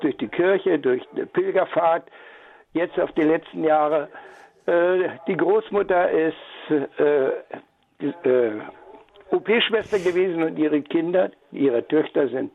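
An older man calling in to a radio show, talking in a halting, rambling way with many restarts, over a tinny phone line. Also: fairly high pitch, vocal fry. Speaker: caller. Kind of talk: call-in radio comment.

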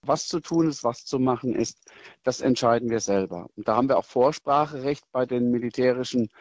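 Middle-aged chaplain guy explaining things slowly, bit lo-fi, dry room. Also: mid-range voice, darkish tone, no echo. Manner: thoughtful, measured, frequent hesitations and restarts. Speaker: military chaplain. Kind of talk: interview answers.

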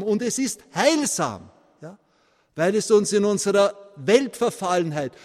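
A man's voice: high-pitched, big-room sound. A man from Vienna with a Viennese accent, preaching a sermon with emphatic peaks and frequent asides. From Vienna, Austria. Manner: animated preaching, rising to emphatic peaks, tag questions like "ja?". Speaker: priest.

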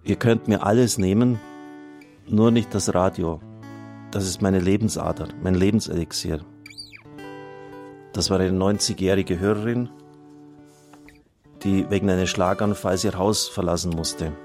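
A deep-voiced priest at the microphone of a religious radio fundraiser, earnestly reading out listeners' letters while a guitar plays faintly underneath. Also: male voice, steady tone, a little slow, long pauses, darkish tone. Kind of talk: reading out listener testimonials and appealing for donations on air.

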